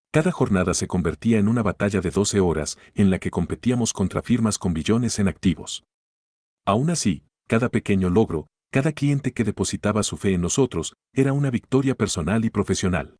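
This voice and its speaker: Deep-voiced man narrating a story. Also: a little mellow, husky, no room sound, studio quality. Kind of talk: first-person story narration.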